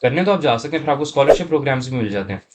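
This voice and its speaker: A man's voice: medium pitch, animated, racing, very noisy. A man, a student guest chatting casually in mixed Urdu and English. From Saudi Arabia. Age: late teens. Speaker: interview guest, university student.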